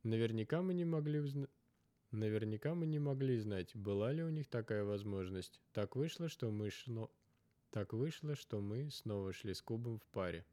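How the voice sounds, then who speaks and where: Guy narrating a story, lowish mellow voice, really dry close sound. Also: animated, moderate pace, dry recording. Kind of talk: scripted literary narration with retakes.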